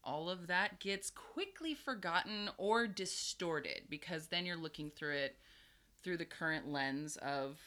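A woman's voice: lowish voice, unhurried, bright, forceful tone. A woman in her thirties, a therapist co-hosting a podcast, who explains things at length and laughs often in casual chat. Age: 30s.

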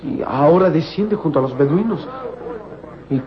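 A man's voice: a little high, expressive, moderate pace, natural voice. An actor playing a curious, respectful young boy, an adventurer's sidekick in an old radio serial, eager and questioning. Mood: alert, excited. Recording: dull, noisy old radio recording.

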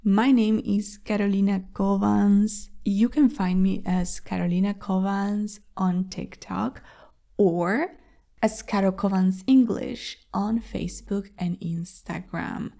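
Mellow-voiced woman with vocal fry. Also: mid-range voice, fairly even intonation, slow. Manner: explains patiently, asks listeners rhetorical questions. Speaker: podcast host and language teacher. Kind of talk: solo podcast lesson.

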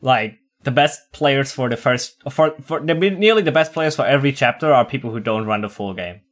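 A man's voice: mid-range voice, lively intonation, fairly quick, fairly bright tone. A man hosting a casual podcast interview, chatty, leaning on "like" and laughing often. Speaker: podcast host.

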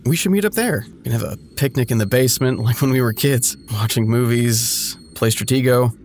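A man's voice: medium pitch, a little fast, a little mellow, a little hiss or hum, lightly acted. An actor playing an awkward, scheming man in an audio drama, talking to an old friend in a hesitant, stammering, over-eager way. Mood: eager, coaxing. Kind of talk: naturalistic audio drama dialogue.

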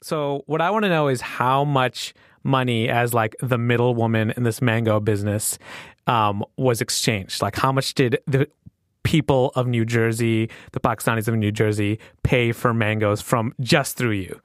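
A man hosting a podcast, telling stories in an animated way and laughing often. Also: mid-range voice, moderate pace, no room sound, clean studio sound. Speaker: podcast host. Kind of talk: casual interview chat.

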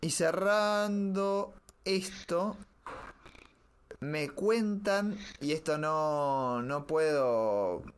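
A man's voice: a little high, extremely slow, clear, resonant tone. A man with an Argentine accent hosting a conversational news show. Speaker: podcast host. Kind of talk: conversational comics news talk.

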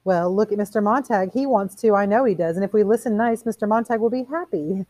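A woman's voice: medium pitch, fast, a little mellow, no echo, pitched above the performer's own voice, lightly acted. A woman voicing a shallow, prim society lady from a novel, chattering in an affected, gossipy way. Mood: coaxing.

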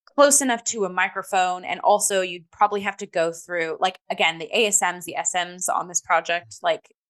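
A woman co-hosting a theatre talk show, discussing in a conversational, emphatic way and sometimes halting as she searches for words. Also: lowish voice, fairly bright tone.

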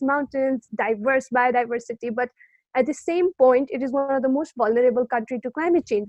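A young woman in her early twenties with a Nepali accent, speaking earnestly and softly as a podcast guest, often pausing to search for words. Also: high-pitched, no echo, low-quality recording. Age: young adult. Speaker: guest, youth climate activist. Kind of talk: podcast interview.

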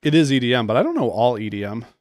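A man's voice: mid-range voice, sing-song, rapid-fire, over music. A man co-hosting a casual podcast chat, laid-back and laughing often. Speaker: podcast co-host.

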